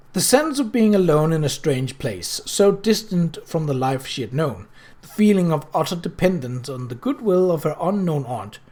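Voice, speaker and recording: Lively, expressive storybook narrator. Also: mid-range voice. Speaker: audiobook narrator.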